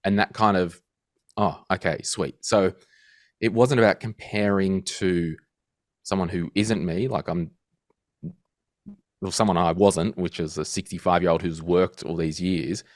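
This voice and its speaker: A man in his thirties, a guest in a casual interview, telling animated stories and acting out quotes. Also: deep voice, a little slow, long pauses, no room sound, studio quality. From Australia. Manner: animated storyteller, acts out quotes. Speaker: guest financial podcaster.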